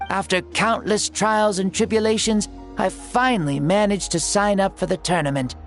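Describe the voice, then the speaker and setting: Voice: mid-range voice, moderate pace, close to an everyday voice. An actor voicing a jaunty, grandiose ancient fire-god spirit in a boy's body for a video game, playful and theatrical with old-fashioned turns of phrase. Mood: jaunty, chatty. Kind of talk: video game character acting. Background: music under the voice.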